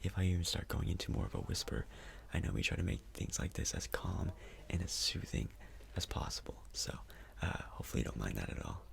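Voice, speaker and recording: Soft-spoken man, low gravelly ASMR murmur. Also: big pitch swings, fairly quick, hardly any pauses. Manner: hesitant, casual, reassuring. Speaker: ASMR performer role-playing a doctor. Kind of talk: soft-spoken ASMR role-play, near whisper.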